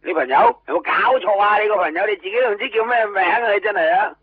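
A man's voice: high-pitched, rapid-fire, no room sound. An actor playing a flustered, grumbling male friend in a Cantonese radio drama, complaining in exclamations with a Hong Kong accent. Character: flustered, grumbling male buddy, exasperated but obliging. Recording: dull old radio recording, faint music.

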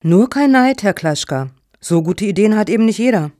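A woman's voice: medium pitch, lively intonation, normal speed, warm tone, no echo, studio quality, natural voice. An actress in a satirical radio play as a prim, officious woman on a foundation's jury, speaking formally. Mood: supportive.

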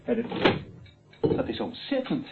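An actor playing a worried, fretful lawyer in a Dutch radio drama, with an anxious, uneasy way of talking. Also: male voice, measured pace, very noisy, close to an everyday voice. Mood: troubled, fretful. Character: worried, fretful male lawyer, anxious about his reputation. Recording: narrow, tinny phone-like old radio recording.

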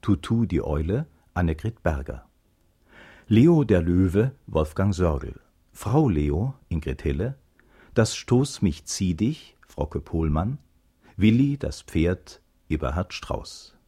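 Male radio announcer for formal credits: low, dark, husky, measured delivery with varied intonation. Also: sing-song. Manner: measured, list-like reading.

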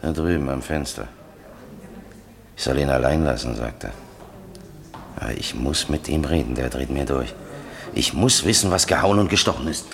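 An impatient, plain-spoken defender in a radio crime play. His voice is extremely low and full of gravel, with an exasperated edge. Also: moderate pace, long pauses. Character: blunt, impatient older-style defender, exasperated with his workmates. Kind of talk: German radio crime drama acting.

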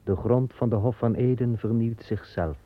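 An actor playing the angel who guards the garden of Eden as a gardener, speaking calmly and tersely in a radio drama. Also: male voice, medium pitch, moderate pace, no room sound, lightly acted. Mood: stern. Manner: calm, terse, unbending. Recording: tinny narrow-band old radio recording.

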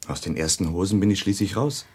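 Wry, husky grown son. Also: male voice, low-pitched, expressive, fast, darkish tone. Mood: amused. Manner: laconic, amused, slangy. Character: wry, casual grown son of a quarrelling family. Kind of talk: German radio drama acting.

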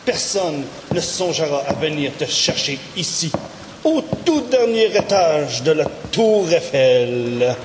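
Slow gloating mad villain, cavernous reverb. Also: male voice, a little high, very animated. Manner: theatrical, mocking, mixes in English words. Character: gloating mad villain boasting of his tower-top lair. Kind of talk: audio drama acting. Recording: long reverb, clear noise behind.

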